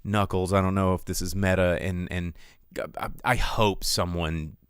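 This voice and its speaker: A man's voice: sits low, steady tone, moderate pace, smooth, no echo, clean studio sound. A man hosting a podcast, talking casually in a rambling, emphatic, exasperated rant. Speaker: podcast host.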